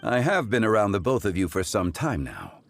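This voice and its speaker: A man playing a composed, wise gentleman in a video game, speaking with formal, measured eloquence. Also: fairly low pitch, sing-song, creaky, full character voice. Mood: calm. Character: composed, courteous, scholarly gentleman of old-world wisdom.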